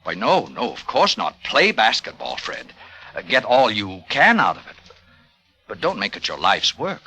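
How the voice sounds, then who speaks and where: An American actor playing a wry, self-deprecating, tired older college professor in a mid-century radio drama, rambling wistfully. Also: male voice, mid-range voice, sing-song, moderate pace, pushed and bright, breathy edge, heavily acted. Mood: wistful, blunt.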